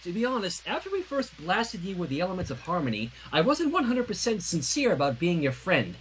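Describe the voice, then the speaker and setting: A man's voice: high voice, very animated, fairly quick, fairly bright tone, a little hiss or hum, low-quality recording. A man voicing a flustered young pony princess in human form, rambling anxiously and earnestly in a dramatic fanfiction reading. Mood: nervous, earnest. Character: flustered young female pony princess turned human, nervously confessing love.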